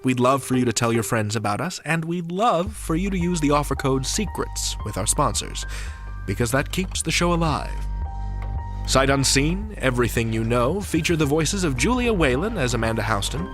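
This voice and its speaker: A man's voice: mid-range voice, very animated, normal speed, a bit bright, soft background music. A man hosting a podcast in a polished, playful presenter style. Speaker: podcast host. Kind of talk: interviewing and scripted show outro.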